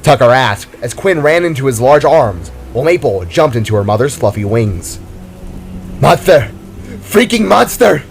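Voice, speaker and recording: A man's voice: mid-range voice, lively intonation, moderate pace, few pauses, soft background music. A man reading scripted dramatic narration as the author of the story.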